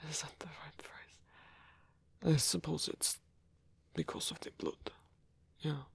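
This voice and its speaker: Man playing a hushed, breathy old German vampire. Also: on the high side, steady tone, no echo, whispered, exaggerated voice. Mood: hesitant, self-deprecating. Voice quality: breathy, husky. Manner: hesitant, halting, formal phrasing, soft-spoken. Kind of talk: ASMR roleplay.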